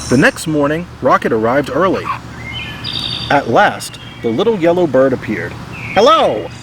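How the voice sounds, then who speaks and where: A man reading a children's picture book aloud in expressive scripted narration. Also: mid-range voice, moderate pace, background noise. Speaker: storybook reader.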